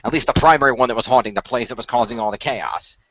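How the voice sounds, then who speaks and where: A man improvising in-character dialogue in a role-play, explaining things in a halting way. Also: medium pitch, crowd noise. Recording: dry, dull lo-fi audio.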